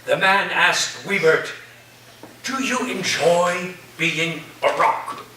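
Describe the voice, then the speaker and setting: Eccentric professor, played by a male stage actor: high, bright voice, slow grandiose dreamy delivery, roomy distant pickup. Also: heavily acted. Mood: dreamy, solemn. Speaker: actor in a staged play reading. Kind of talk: theatrical staged reading. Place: echoey room at a live staged reading. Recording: roomy, distant mic, faint noise.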